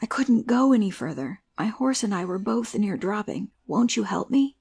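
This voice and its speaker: A woman playing a desperate, worn-out hunted soldier, pleading urgently in a husky, half-whispered voice in an audiobook play. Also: mid-range voice, animated, normal speed, darkish tone. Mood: desperate explaining. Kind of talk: amateur audiobook play acting.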